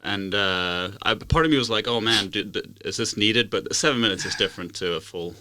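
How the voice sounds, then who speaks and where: Male interviewer, low voice with a bright edge, easygoing and wry. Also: brisk, dry recording. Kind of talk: casual interview chat.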